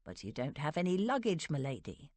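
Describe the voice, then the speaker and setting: A woman's voice: sing-song, normal speed, a little mellow, no room sound. A woman voicing an anxious, deferential English butler who pleads respectfully in a storybook reading. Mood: dismayed. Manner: low-voiced, pleading, respectful.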